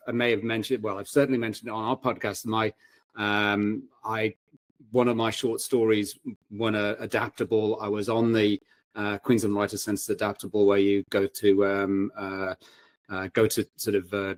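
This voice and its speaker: A man telling anecdotes as a panel guest, informal and halting, often correcting himself as he goes. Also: fairly low pitch, dry recording. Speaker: panel guest, self-published author. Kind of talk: casual anecdotal chat.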